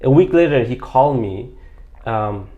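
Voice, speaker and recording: A man's voice: mid-range voice, expressive, moderate pace, narrow, tinny audio. A man in his late twenties from Bangladesh telling his story as an interview guest, rambling with lots of fillers and restarts. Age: late 20s. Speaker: interview guest, squash coach.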